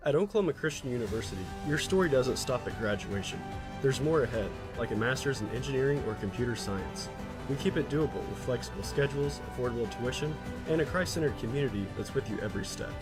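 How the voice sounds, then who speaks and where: A man's voice: medium pitch, lively intonation, fast talker. Male radio ad voice over music. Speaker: commercial voice-over. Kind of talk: scripted university ad narration.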